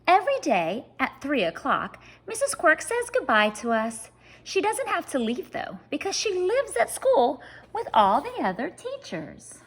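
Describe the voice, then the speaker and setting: A woman reading a children's story aloud like a teacher, expressive and speaking straight to young listeners. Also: sits high, unhurried, slight background noise. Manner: expressive, addressing children directly.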